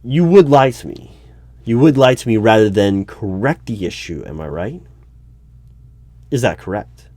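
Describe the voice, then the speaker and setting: A man playing a wary, probing hiring manager in a one-sided audio roleplay, speaking haltingly with stammers and repeats. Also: fairly low pitch, lively intonation, mellow, dry recording. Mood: accusing, suspicious. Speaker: hiring manager at a secret agency. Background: computer keyboard typing.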